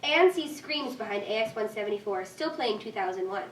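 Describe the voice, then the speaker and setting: A woman's voice: medium pitch, lively intonation, fairly quick. A woman narrating a script's stage directions at a staged reading. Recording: echoey room, distant mic, faint noise.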